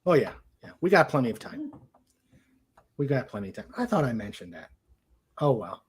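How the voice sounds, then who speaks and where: A man hosting a casual livestream, speaking in a halting way as he searches for words. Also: medium pitch, huge pitch swings, breathy edge. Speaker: host of a live-streamed show.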